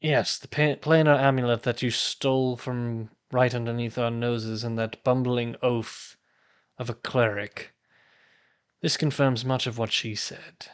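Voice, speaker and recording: A man's voice: medium pitch, fairly even intonation, measured pace, clean tone, natural voice. A man voicing an imperious, threatening cult leader with a pompous, formal way of speaking in a tabletop game. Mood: menacing. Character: imperious, threatening male cult leader claiming divine will.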